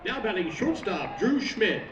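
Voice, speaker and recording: A man announcing batters as a ballpark public address announcer, heard from afar over stadium loudspeakers. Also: high-pitched, big pitch swings, measured pace. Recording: distant, dull PA with background noise.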